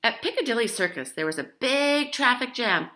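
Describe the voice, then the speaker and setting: A woman's voice: fairly high pitch, moderate pace. A woman reading a children's picture book aloud expressively, talking to young listeners as she goes. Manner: animated, addresses child listeners with questions. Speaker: storybook reader.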